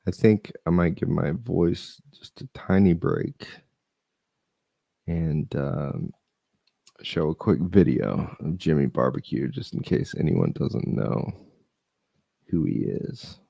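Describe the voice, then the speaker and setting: A man's voice: rumbling low, slow, creaky, dark tone, husky, dry recording. A man hosting a casual stream, chatting loosely and thinking aloud while he is losing his voice. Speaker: host. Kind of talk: casual live-stream reading and chat.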